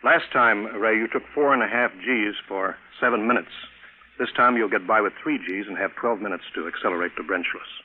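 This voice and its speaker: Faint noise and a tinny old radio band surround a man acting as a space programme chief. His low voice is matter-of-fact and businesslike, in fifties radio drama style. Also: a little fast, lightly acted. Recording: noisy, tinny vintage radio broadcast.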